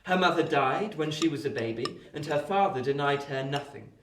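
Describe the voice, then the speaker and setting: Genial, high-voiced young gentleman. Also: animated, moderate pace, distant mic, a little hiss or hum. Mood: storytelling. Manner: polite, tactful, chatty storytelling. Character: genial, well-bred young London gentleman telling tales. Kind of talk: radio play acting. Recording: echoey room, off the mic.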